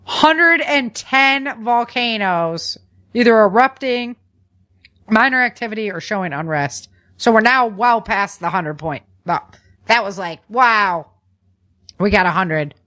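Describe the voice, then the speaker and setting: A woman hosts a podcast in a casual, chatty style. Her voice sits at a medium pitch. She talks in an animated, folksy manner, addressing listeners directly and tossing in informal asides. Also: slow. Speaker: podcast host. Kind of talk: casual news chat to listeners.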